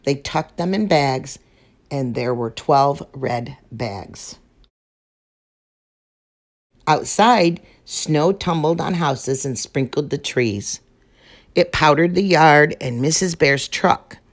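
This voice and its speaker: A woman reads a picture book aloud to children at an unhurried pace. Her voice is low for a woman, gentle and expressive. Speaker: storyteller.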